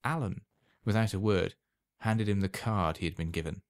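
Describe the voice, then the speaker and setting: A man's voice: lowish voice, normal speed, smooth, dry recording, clean studio sound. A man reading a scripted short story aloud as a storyteller.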